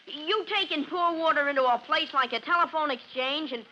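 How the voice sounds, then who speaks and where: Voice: high voice, fairly quick, sharp tone, dull audio. An actor playing a stubborn, argumentative schoolboy in an old radio drama. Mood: insistent. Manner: insistent, argumentative. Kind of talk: 1950s radio drama acting. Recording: old noisy 1950s radio recording.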